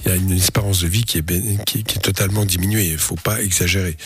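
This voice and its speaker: A man, the doctor on a live radio advice show, explaining things calmly and in a measured way. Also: sits low, husky, no room sound, faint music behind. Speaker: doctor co-host. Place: radio studio.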